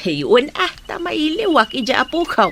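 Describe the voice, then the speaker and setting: Woman acting as fond grandmother, Ilocano accent, gravelly, lively pitch swings, radio drama, background noise. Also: moderate pace, heavily acted. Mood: doting, eager.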